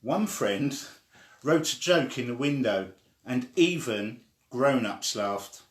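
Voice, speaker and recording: A man reading a children's story aloud in an expressive way, acting out the quoted lines. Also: medium pitch, slow pace. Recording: echoey room.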